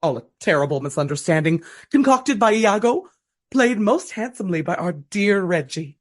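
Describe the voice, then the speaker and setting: Woman playing a gushing, husky, melodramatic Regency actress. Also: mid-range voice, animated, normal speed, close to an everyday voice. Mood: earnest, dramatic. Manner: theatrical, breathy earnestness, dramatic flourishes. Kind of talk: radio play acting.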